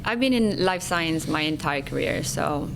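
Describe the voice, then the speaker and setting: A woman's voice: low-pitched, animated, moderate pace, creaky, background noise. A middle-aged woman from Sweden with a Swedish accent, a company executive explaining her work with earnest passion in a podcast interview.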